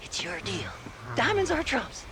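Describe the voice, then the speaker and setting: An actor voicing an unhinged, giddy Western eccentric with a rural American drawl in a video game. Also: male voice, very high-pitched, huge pitch swings, unhurried, heavy background noise, full character voice. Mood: manic, scornful. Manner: theatrical, drawling, wildly swooping delivery. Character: unhinged, scrawny male grave-robbing eccentric, giddy and erratic. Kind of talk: video game cutscene acting.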